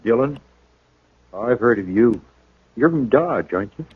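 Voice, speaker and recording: A sick frontier father, a bitter old soldier weakened and halting in his speech. He, heard on a muffled vintage radio western. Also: medium pitch, expressive, slightly rough. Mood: weary, resigned. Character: ailing, bitter frontier settler father, weakened war veteran. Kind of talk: 1950s radio western acting.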